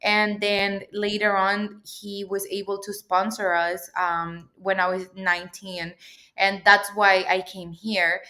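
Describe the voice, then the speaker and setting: A chatty young woman from El Salvador tells her story as an interview guest. She talks in loose, filler-heavy sentences and laughs easily. Her voice sits at an ordinary middle pitch for a woman. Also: flat delivery, slow, pushed and bright. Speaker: guest, PhD student. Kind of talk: casual interview chat.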